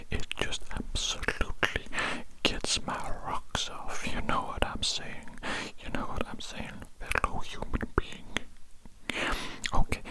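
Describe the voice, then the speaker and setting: A performer voicing an eager, odd alien doctor who poses as a human man of science, in a slow breathy ASMR whisper, repeating phrases in stilted, formal wording. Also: natural voice, poor audio. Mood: soothing, eager, then soothing, reassuring.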